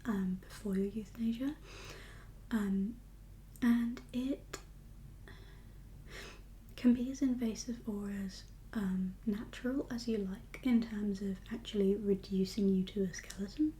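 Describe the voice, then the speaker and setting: A woman whispers softly as a kindly doctor in an ASMR roleplay. Her delivery is slow and reassuring, with a dark, mellow tone. A faint noise sits behind her voice. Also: medium pitch. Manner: gentle, unhurried, reassuring professional. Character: kindly doctor for undead patients. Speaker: ASMR performer playing a doctor.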